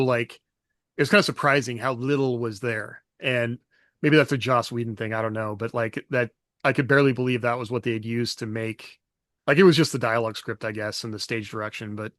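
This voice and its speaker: A man's voice: mid-range voice, fairly bright tone, no echo, clean studio sound. A man co-hosting a casual podcast, musing aloud in a loose, conversational way. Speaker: podcast co-host. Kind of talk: casual panel chat.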